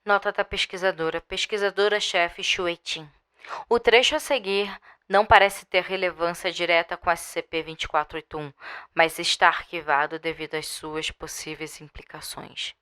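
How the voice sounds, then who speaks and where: A woman plays a brisk, official lead researcher in an audio drama. Her voice is on the low side, yet bright and very clean. She delivers the archive note formally and matter-of-factly. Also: fairly even intonation, clear, resonant tone.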